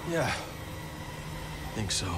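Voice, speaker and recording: A man's voice: drawn-out words, heavy background noise. A man playing a weary, driven young Jedi fugitive in a cinematic video game. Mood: grim, determined.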